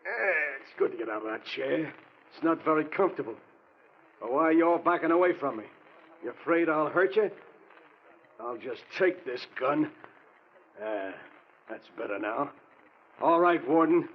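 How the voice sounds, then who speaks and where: A man's voice: on the high side, animated, moderate pace, pauses often. An actor playing a ruthless, cocky gangster boss with a commanding, tough-guy manner in a vintage radio drama. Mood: gloating, taunting. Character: cocky, ruthless male gangster boss who cannot be killed. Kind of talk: 1940s radio drama acting. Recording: muffled, noisy vintage radio recording.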